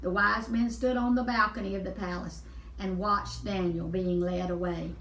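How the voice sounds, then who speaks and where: A woman's voice: medium pitch, steady tone, moderate pace, a bit bright, a little hiss or hum. A woman storyteller reading a Bible story aloud for children, explaining and repeating words for emphasis. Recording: echoey room, distant mic.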